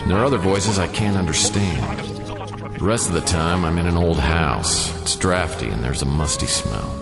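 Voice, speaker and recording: A hard-boiled detective narrating a radio play. His voice is very deep and dry with sardonic humor, the sound is reverberant, and music plays clearly behind him. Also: animated, normal speed. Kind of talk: noir radio drama narration.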